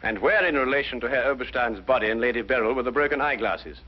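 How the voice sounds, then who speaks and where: An actor playing a brilliant, courteous detective, speaking precisely and deliberately in 1950s detective drama style. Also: male voice, mid-range voice, lightly acted. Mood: probing. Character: brilliant, composed male detective, methodical and courteous. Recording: muffled 1950s broadcast, music underneath.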